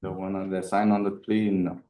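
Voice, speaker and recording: Male defendant on the stand: very dull, mellow low tone, terse and unsure, echoey courtroom recording. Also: flat delivery, moderate pace. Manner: terse, hesitant, non-committal answers. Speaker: defendant testifying as a witness. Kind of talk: courtroom testimony. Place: indoor courtroom.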